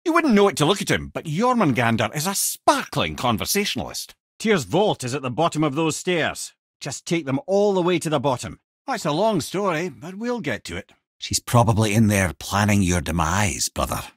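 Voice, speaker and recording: An actor with a Scottish accent playing a wry, worldly old storyteller and adviser in a video game, husky and eloquent, speaking with theatrical flair and dry asides. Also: male voice, mid-range voice, very animated, normal speed, hardly any pauses, no room sound. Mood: wry, conversational. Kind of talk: video game character dialogue and mythic storytelling.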